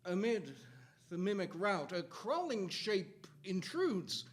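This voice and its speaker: Older man, dramatic verse recitation, high-pitched for a man, slow, grave and declamatory. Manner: grave, declamatory, with hushed drops and booming cries. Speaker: stage performer reciting poetry. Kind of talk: theatrical dramatic poetry recitation. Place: theatre stage at a lectern.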